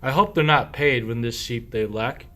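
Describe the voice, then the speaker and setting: A man's voice: medium pitch, moderate pace, bright, forceful tone, lightly acted. A man acting a sly, henpecked husband and sheep thief in a comic verse radio play, declaiming in rhyme. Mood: blustering, conspiratorial.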